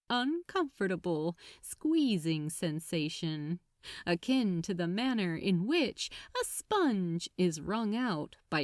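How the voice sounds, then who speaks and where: A woman reading a story aloud as scripted narration, in a measured, expressive manner. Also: mid-range voice, no room sound, studio quality. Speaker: audiobook-style fan fiction narrator.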